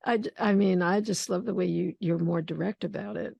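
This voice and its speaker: A woman hosting a poetry conversation over a video call, thoughtful and searching, with many pauses and restarts. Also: lowish voice, creaky, darkish tone, breathy edge, no echo. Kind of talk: casual video call discussion.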